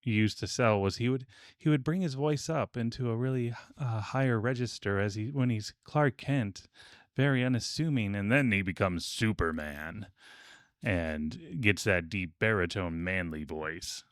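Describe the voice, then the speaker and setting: A man's voice: on the low side, unhurried, no echo, studio quality. A man hosting a casual podcast discussion, explaining things in a hesitant way with asides. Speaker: podcast host. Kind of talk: casual discussion with research notes.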